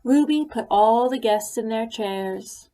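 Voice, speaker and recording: A woman reading a children's picture book aloud, telling the story slowly and gently. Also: fairly high pitch, poor audio. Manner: slow, gentle storytelling with lively quoted lines. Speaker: reader.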